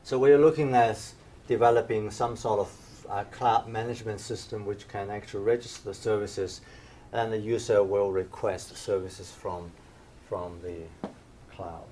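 Low-voiced man giving a hesitant lecture. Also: steady tone, normal speed, mellow, a little hiss or hum. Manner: hesitant, many fillers and restarts. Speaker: invited speaker, professor. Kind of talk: conference lecture. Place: dim lecture room, standing by projector screen.

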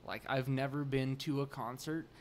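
A young adult man, a musician guest in a podcast interview, talking earnestly in animated bursts with many restarts, at a table mic in a small studio room. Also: mid-range voice, normal speed, slight background noise. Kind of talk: casual interview chat. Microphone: boom mic on the table.

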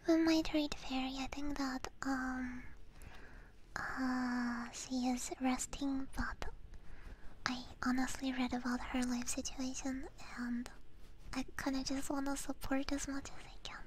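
A woman with a high, breathy whisper chats casually to her livestream viewers as ASMR. She takes her time, softly and playfully repeating little phrases close to a binaural microphone, with audible noise behind her. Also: little pitch movement, measured pace, low-quality recording. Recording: close ASMR mic with clear background noise.

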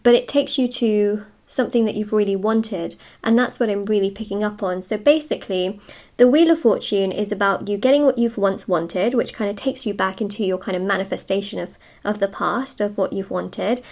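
A woman hosts an improvised tarot reading in a medium-pitched voice. She talks conversationally to her viewers, musing over meanings and often repeating a phrase in new words. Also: normal speed, a little mellow. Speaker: tarot reader and host. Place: indoors at a wooden table.

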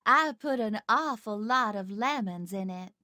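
Guy putting on a sweet wife voice, high, bright, kinda slow. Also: expressive, no echo, studio quality, heavily acted. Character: friendly wife on her patio.

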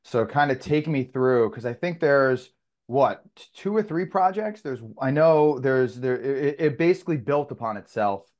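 A man's voice: mid-range voice, expressive, moderate pace, darkish tone. A young man hosting a podcast interview, asking rambling questions and restarting them as he goes, over a video call. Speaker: podcast host.

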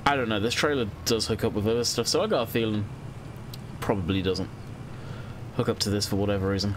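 A young man talks through his game in a loose, rambling way, sounding stuffed up and congested. His voice sits a little low. A steady hiss of noise runs under the home recording. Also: fast. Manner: chatty and rambling, thinking aloud while playing. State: congested from a cold. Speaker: gaming channel host. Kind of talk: casual let's-play commentary.

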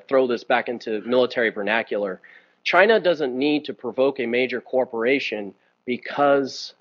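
A man of middle pitch speaks in earnest from a lectern in a conference room, often pausing on fillers and restarting his sentences. The sound is a little dull and lo-fi. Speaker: guest speaker. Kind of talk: forum talk and Q&A answers.

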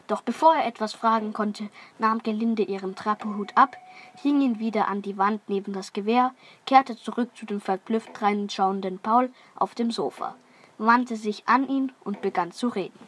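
A woman's voice: mid-range voice, moderate pace. A woman reading scripted narration for a children's radio play. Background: music.

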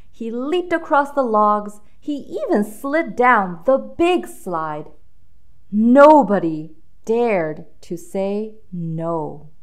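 A woman reading a children's storybook aloud with dramatic emphasis, drawing out key words for young listeners. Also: fairly high pitch.

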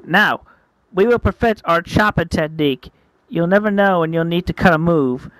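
A woman voicing a male martial arts master, firm and encouraging, instructing deliberately in an anime-style story. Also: fairly low pitch, dry recording, lightly acted, rough recording. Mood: commanding.

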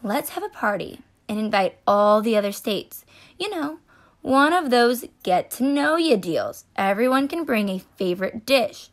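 A restless storybook state played by a woman, a male cartoon character hungry for more. The delivery is dramatic and slow, stretching key words, with a lively, swinging pitch. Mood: excited. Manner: dramatic, drawn-out emphasis.

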